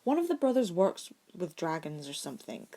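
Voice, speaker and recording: A woman's voice: on the low side, very animated, a little fast, warm tone. A woman voicing an earnest, stubborn young schoolboy in an audiobook, casual and a little hesitant. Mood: reasoning. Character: earnest, stubborn eleven-year-old schoolboy, slightly grumpy.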